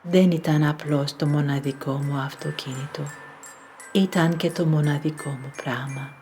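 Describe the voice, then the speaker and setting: A woman's voice: low voice, steady tone, moderate pace, dark tone, faint music behind, natural voice. A woman playing a bodiless, charming voice in a radio play, reflective and reminiscing.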